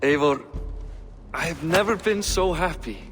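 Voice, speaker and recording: A man's voice: on the high side, unhurried, a bit bright, faint music behind. An actor playing an aging Viking king in a video game, jovial, self-deprecating and anxious, with old-fashioned folksy phrasing. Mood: rueful, earnest. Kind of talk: cinematic video game acting.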